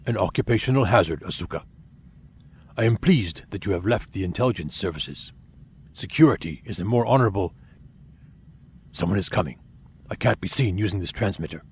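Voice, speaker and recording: Man acting a deep-voiced, formal alien warrior officer. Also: lively intonation, slightly rough, heavily acted. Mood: guarded. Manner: formal, measured, deliberate. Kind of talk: audio drama acting.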